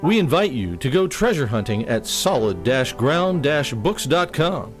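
A man's voice: a little high, big pitch swings, a little slow, soft background music. Upbeat man reading a sponsor spot. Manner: upbeat, promotional. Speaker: ad announcer. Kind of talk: sponsor read.

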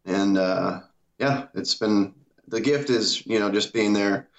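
A man from Iowa, a guest animator, answering casually and modestly with many pauses. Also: on the low side, gravelly. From Iowa, USA. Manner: modest, hesitant, many fillers. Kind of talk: casual interview. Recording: dull lo-fi audio.

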